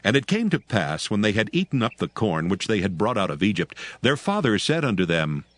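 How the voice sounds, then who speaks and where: A low male voice narrates scripture with measured solemnity. His delivery is expressive, with wide swings in pitch. The recording is dry and close, with music under it. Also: no echo.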